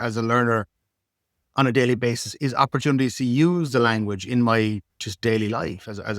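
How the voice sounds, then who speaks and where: A man's voice: medium pitch, dry recording. A man hosts a podcast conversation in an ordinary mid-range voice. He stammers and restarts often, repeating small words before his questions lift at the end. The recording is close and dry. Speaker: podcast host. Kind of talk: interviewer, casual chat.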